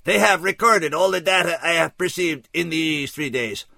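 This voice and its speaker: Guy doing a solemn alien scout for an audio story, raised pitch, weird foreign accent, super formal and pained, kinda resigned. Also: gravelly, sharp tone, dry recording, studio quality. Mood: helpless, dispassionate. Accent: odd, unplaceable foreign accent. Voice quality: pained, strained. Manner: stiff, formal, dispassionate, slow and deliberate.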